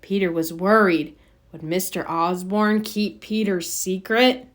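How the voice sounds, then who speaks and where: A woman narrating a children's storybook aloud with expressive, deliberate phrasing. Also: mid-range voice.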